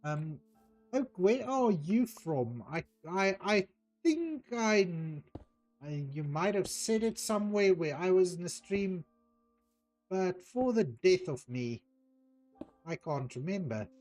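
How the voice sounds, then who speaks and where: Slow-talking Afrikaans-accented man. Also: high voice, expressive, a little mellow, soft background music. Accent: Afrikaans South African. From South Africa. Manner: unhurried, hesitant, with frequent ums while reading out chat. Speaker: streamer. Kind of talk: casual chat while playing a game.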